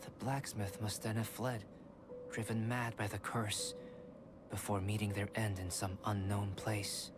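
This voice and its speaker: A man voicing a calm young male alchemist in a game cutscene, who speaks in a precise, formal and measured way. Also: fairly low pitch, very noisy, close to an everyday voice. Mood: explaining. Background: game music.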